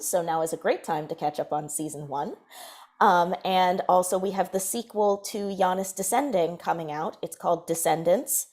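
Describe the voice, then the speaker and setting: A woman's voice: fairly low pitch, a little mellow. A woman speaking earnestly and emphatically as a panelist, giving advice in a discussion. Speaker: panelist, fiction podcast creator.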